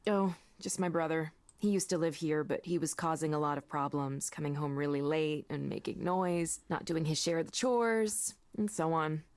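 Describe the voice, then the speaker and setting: An actress playing a candid, kindly co-worker in a visual novel, with a frank, conversational delivery. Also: female voice, low-pitched, steady tone, moderate pace, hardly any pauses, a little mellow, lightly acted. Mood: weary concern. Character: candid, weary but kindly woman, friendly co-worker.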